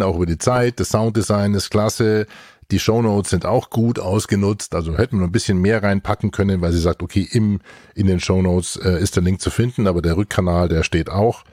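A man's voice: deep voice, darkish tone, no room sound, clean studio sound. A man co-hosting a podcast in casual banter, colloquial and playful, dropping English buzzwords into his talk. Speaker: podcast co-host and reviewer.

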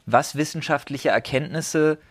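A man's voice: a little high, unhurried, dry recording. A man co-hosting a casual podcast discussion, talking in a blunt, emphatic and colloquial way. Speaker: podcast co-host. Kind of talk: casual discussion among friends.

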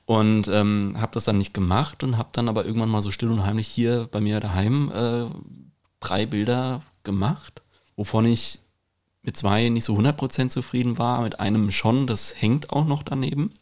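A man's voice: fairly low pitch, steady tone, normal speed, a little mellow. A man talking in a casual podcast chat, reflective and informal, with English slang mixed in. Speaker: podcast host and painter.

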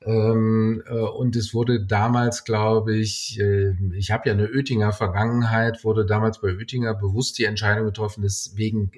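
Male executive guest, middle-aged, lowish voice, explanatory and winding delivery. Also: little pitch movement, normal speed, hardly any pauses, a little mellow. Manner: hesitant phrasing in long, winding sentences with business anglicisms. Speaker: company executive as podcast guest. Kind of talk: interview answer, explanatory talk.

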